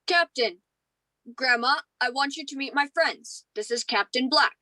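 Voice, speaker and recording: A woman's voice: high voice, a little slow, pushed and bright, dry recording, low-quality recording. A woman acting an emotional, eager young male space lieutenant in a radio play, with an earnest, pleading manner. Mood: happy, eager. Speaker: actor in a student radio play.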